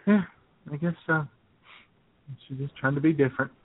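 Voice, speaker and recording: A man's voice: a little high, normal speed, slightly rough, no room sound. A man co-hosting an internet radio show, bantering casually and sarcastically about the news over a thin, phone-like sound. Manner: sarcastic, joking.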